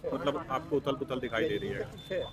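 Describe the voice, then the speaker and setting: A man's voice: medium pitch, animated, normal speed, a crowd in the background. A man working as a street reporter, putting questions to passers-by. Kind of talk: vox pop interview with questions and prompts. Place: outdoors in an urban area. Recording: noisy outdoor street with traffic.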